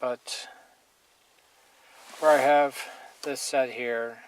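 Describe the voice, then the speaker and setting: A man's voice: mid-range voice, fairly even intonation, extremely slow. A man giving a casual hands-on gear demonstration in a blunt, plain-spoken, mildly crude manner. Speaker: hobbyist reviewer demonstrating radio gear. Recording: noisy amateur recording with clicks.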